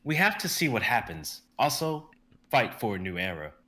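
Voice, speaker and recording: A man voicing Akalos, a commanding warrior who speaks in a formal, measured way. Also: lowish voice, big pitch swings, bright, forceful tone. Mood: stern.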